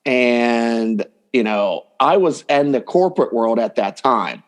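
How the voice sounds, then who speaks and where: A man's voice: mid-range voice, slow. A man talking casually and explaining his coaching work as a guest in an interview. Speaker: dating coach, podcast guest.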